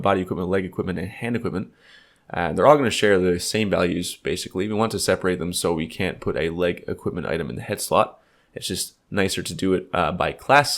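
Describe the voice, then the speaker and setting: A man's voice: sits low, creaky. A man with a stuffed-up, slightly nasal voice, explaining code casually in a tutorial, thinking aloud with lots of fillers. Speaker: game development tutor. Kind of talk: screen-recorded coding tutorial.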